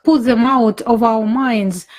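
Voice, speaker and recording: Female live interpreter, slightly high register, phrase-by-phrase halting delivery of personal talk. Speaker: interpreter voicing forum speakers' words in English.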